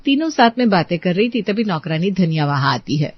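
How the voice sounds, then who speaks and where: A woman's voice: on the low side, sing-song, brisk, husky, no echo, muffled recording. Woman narrating a story, dry sound.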